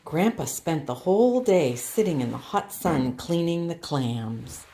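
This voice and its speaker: A woman hosting storytime for young children, speaking in simple, deliberate phrases as she reads aloud or explains a craft. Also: sits low, animated, dark tone. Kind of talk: picture-book read-aloud and craft demonstration for young children. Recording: roomy echo with faint background noise.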